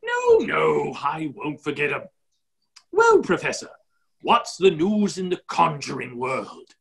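A man's voice: a little high, wildly swooping pitch, takes it slowly, gravelly, a bit bright, full character voice. Man playing a muddled, swooping, genial duke. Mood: complacent. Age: middle-aged. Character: muddle-headed, genial, blustering English duke. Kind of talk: staged play reading over video call. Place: indoor office, video call. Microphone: computer microphone on a video call.

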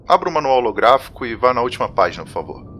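A man playing an earnest, reserved councillor in an audio drama, speaking in a measured way. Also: very animated, loud music behind the voice, natural voice. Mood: serious, measured. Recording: faint noise and low rumble.

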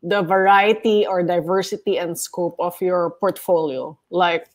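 A woman's voice: fairly low pitch, fairly even intonation, measured pace. Woman host reading questions. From Philippines. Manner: reads questions aloud and adds Tagalog asides. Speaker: interview host.

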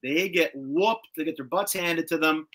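A man with a bright, forceful voice pitched a bit high hosts a casual rewatch chat. He retells scenes in an animated, slangy way and swears now and then. It sounds like a video call. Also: moderate pace. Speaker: host. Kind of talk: casual chat recapping a cartoon episode. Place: indoor room with shelves of collectibles, on a video call.

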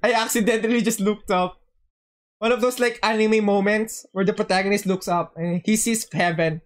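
A woman livestreamer chatting casually over a game in an excitable, joking way, often repeating phrases. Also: medium pitch, normal speed. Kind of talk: casual game stream chat. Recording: game music behind.

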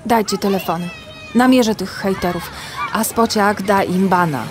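An actress playing a decisive young member of a hero team in a radio drama. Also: female voice, medium pitch, normal speed, crowd noise, natural voice. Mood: determined. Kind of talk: scripted radio drama acting.

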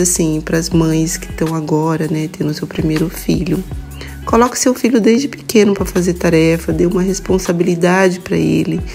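A woman's voice: lowish voice, creaky, mellow. A Brazilian woman in her forties narrating a casual vlog in a chatty, confiding way. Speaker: vlogger.

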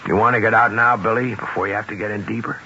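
An actor playing a relentless, sardonic, moralizing accuser in a radio drama, taunting with pointed questions. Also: male voice, lowish voice, steady tone, fast, pushed and bright. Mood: grave, pressing. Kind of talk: 1970s radio drama acting. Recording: hissy muffled 1970s radio recording.

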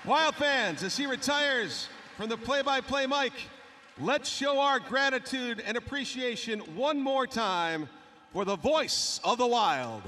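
A man's voice: extremely high pitch, expressive, slow pace, pushed and bright. A man making a formal, scripted ceremony announcement as an arena announcer, projecting over the public address. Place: large indoor ice hockey arena. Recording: echoing arena PA with clear crowd ambience. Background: arena ambience and noise.

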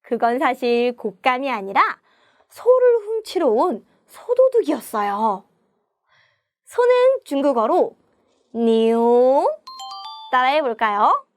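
A woman telling a story as a children's language teacher, with clear, deliberate teaching and repeat-after-me prompts. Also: high voice, big pitch swings, dry recording, studio quality. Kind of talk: animated storytelling lesson for young children.

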